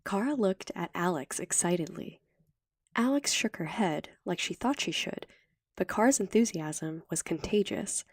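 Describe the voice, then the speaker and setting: A woman's voice: on the low side, animated, moderate pace, breathy edge, no echo, studio quality. A woman reading scripted fiction as an audiobook narrator.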